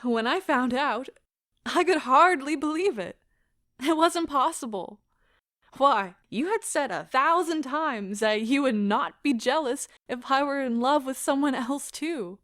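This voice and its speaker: Actress doing heartbroken woman pleading w/ her lover, high voice, slow dramatic delivery, audio play. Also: animated, a bit bright, dry recording, clean studio sound. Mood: bitter, aggrieved. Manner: theatrical, impassioned, deliberate delivery. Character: anguished, pleading woman leaving a broken love affair. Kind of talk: stage-style audio drama acting.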